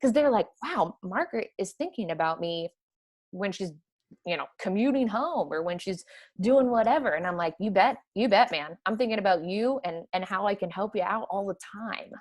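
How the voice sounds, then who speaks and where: A woman with a Midwestern American accent, chatting energetically as a podcast guest. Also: mid-range voice. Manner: energetic, chatty, quick to laugh. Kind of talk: casual conversation.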